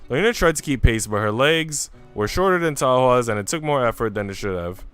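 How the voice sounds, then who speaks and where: A man streaming a visual novel, reading it aloud as expressive narration and making casual asides. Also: medium pitch, fairly quick, smooth. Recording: music playing behind the voice. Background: music.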